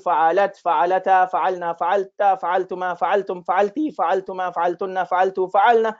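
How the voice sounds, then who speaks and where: Casting: young man, native Urdu speaker, instructor, chatty and halting, lo-fi webcam sound. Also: high voice, darkish tone. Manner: conversational, many fillers and restarts. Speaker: Arabic instructor. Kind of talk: informal lecture with personal story. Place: small room indoors, talking over a shared screen.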